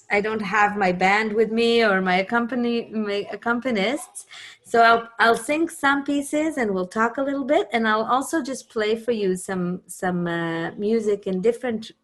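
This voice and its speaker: Hebrew-speaking Israeli woman musician, halting. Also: on the high side. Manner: warm, searching, many ums and restarts. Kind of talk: casual talk on a video call. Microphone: video call.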